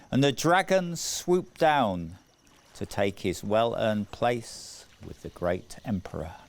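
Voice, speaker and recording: A man narrating a scripted children's story in a measured, deliberate way with dramatic phrasing. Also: medium pitch. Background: music.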